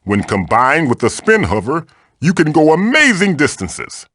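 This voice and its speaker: A man's voice: fairly high pitch, sing-song, moderate pace, a bit bright, no echo, studio quality. A man voicing an old magical mask, a bossy, wisecracking mentor guiding the player in a video game with theatrical, wry delivery. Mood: instructive.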